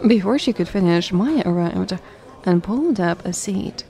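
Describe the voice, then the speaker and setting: A woman's voice: on the low side, lively intonation, normal speed, dark tone. A woman narrating a scripted story for an audio drama.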